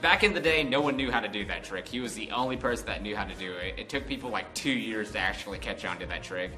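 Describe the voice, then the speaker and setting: A man's voice: lowish voice, fast talker, over loud music. An American man in his twenties telling a casual, unscripted story in a rambling, conversational way. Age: 20s. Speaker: vlog host.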